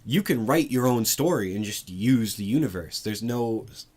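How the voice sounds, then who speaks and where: A man co-hosting a casual discussion, reasoning out loud in an informal way and leaning on "like". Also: on the low side, lively intonation, normal speed, crowd noise. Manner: informal, frequent "like" while reasoning. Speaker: co-host. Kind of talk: casual discussion of films and games.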